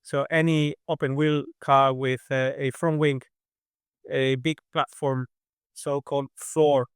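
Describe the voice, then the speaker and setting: Man, Spanish accent, non-native English, resonant smooth voice, level delivery, hesitant pauses, dry close sound. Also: mid-range voice, level tone, no room sound. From southern Spain. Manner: measured, thoughtful, with many hesitations. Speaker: aerodynamics engineer, guest. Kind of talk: interview answers.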